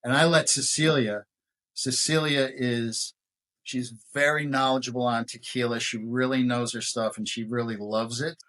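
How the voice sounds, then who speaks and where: A man speaking plainly and relaxed as a guest in a casual interview. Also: medium pitch, moderate pace. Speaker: tequila brand co-founder, guest.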